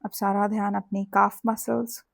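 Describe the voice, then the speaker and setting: A woman with an Indian accent leads a guided sleep meditation in a soothing, deliberate way, leaving long pauses between phrases. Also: mid-range voice, flat delivery, vocal fry, no echo, clean studio sound. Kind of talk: guided sleep hypnosis with body scan.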